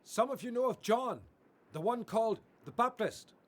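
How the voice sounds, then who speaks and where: Man playing husky-voiced, expressive gentle teacher. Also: very high-pitched, normal speed. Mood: raised, proclaiming. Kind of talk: radio Bible drama acting.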